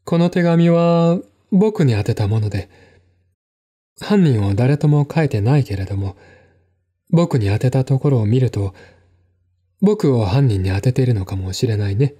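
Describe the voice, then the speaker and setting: A man playing a composed, articulate man in a one-man audio drama, explaining things in a measured, reflective way. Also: medium pitch, expressive, warm tone, breathy edge. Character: composed, articulate man recounting his stepmother's death.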